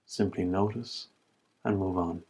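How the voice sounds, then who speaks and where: A man leading a guided body scan meditation as an instructor, giving calm, measured instructions in short, spaced phrases. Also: sits low, breathy edge.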